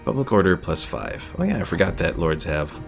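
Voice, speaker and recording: A man's voice: deep voice, very animated, fairly quick, music in the background. A man giving casual, chatty live commentary on a game he plays and reading out viewer comments. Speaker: game streamer.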